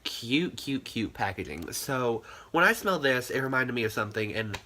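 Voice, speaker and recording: A man's voice: medium pitch, animated, moderate pace, sharp tone. Chatty congested young man reviewing candles. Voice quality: nasal. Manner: chatty, many "like" fillers. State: nasally congested. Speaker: candle channel host. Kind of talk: casual product review vlog.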